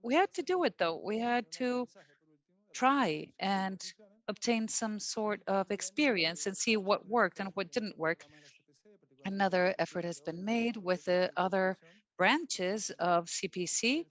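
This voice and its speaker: Woman interpreter, slow and measured, medium pitch, restarts a word now and then. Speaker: simultaneous interpreter. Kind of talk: live interpretation of closing remarks.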